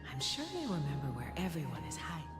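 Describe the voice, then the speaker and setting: An actress playing a gentle, loving mother and priestess, speaking softly and tenderly in a video game. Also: low-pitched, very animated, quick pace. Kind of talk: video game cutscene acting. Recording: game audio under loud music. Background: loud music.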